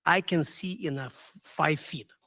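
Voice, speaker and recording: A man's voice: on the high side, bright, forceful tone, no room sound. Ukrainian-accented man speaking up at a meeting. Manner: halting non-native English, earnest. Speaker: resident giving public comment. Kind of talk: public comment at a meeting.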